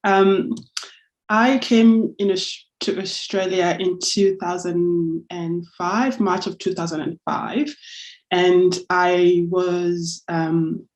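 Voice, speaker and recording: A woman in her thirties, a lawyer and advocate, giving a thoughtful public talk at a measured pace with a few fillers. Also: on the low side, little pitch movement, a little mellow.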